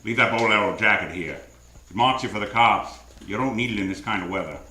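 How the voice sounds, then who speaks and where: A man's voice: on the low side, brisk, sharp tone. A man playing a wry, world-weary private detective in a 1940s-style radio noir drama, talking and narrating in terse tough-guy lines. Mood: wry relief. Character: hard-boiled, wisecracking male private detective, world-weary but soft-hearted. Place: live radio drama on a theatre stage. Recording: roomy live theatre stage, faint music.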